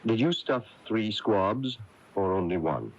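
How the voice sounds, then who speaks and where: A probing, knowing confidant in a radio mystery play, with a low voice that moves expressively up and down. He presses for answers with firm, deliberate questions. It comes through a muffled old AM radio recording. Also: animated. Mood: pressing, knowing. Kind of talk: radio mystery drama acting. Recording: muffled 1980s AM radio tape, faint music.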